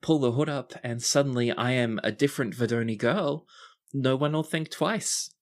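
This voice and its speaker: A man's voice: mid-range voice, normal speed, lightly acted. Man playing a bubbly, courtly young princess. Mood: conspiratorial, playful. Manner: formal courtly phrasing, effusive. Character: bubbly, earnest young princess with a mischievous streak.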